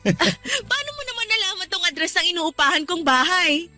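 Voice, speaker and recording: A woman's voice: very high voice, very animated, brisk, bright, forceful tone, faint music behind, full character voice. An actress playing a practical young woman in a Tagalog radio drama, teasing her partner and then scolding him for quitting his job. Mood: surprised. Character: young woman working abroad, practical, a little irritated.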